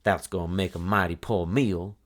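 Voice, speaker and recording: A man's voice: deep voice, steady tone, normal speed, close to an everyday voice. A man voicing a spoiled, scornful girl in a children's storybook, whining in a folksy rural dialect. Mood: cross.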